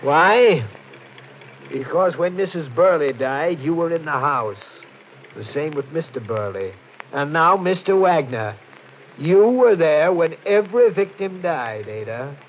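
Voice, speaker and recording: A man playing a cold, calculating murderer in old-time radio horror, with a menacing, deliberate, theatrical delivery. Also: a little high, lively intonation. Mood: accusing, wary. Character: cold, calculating husband turned murderous villain. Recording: muffled, noisy vintage radio recording.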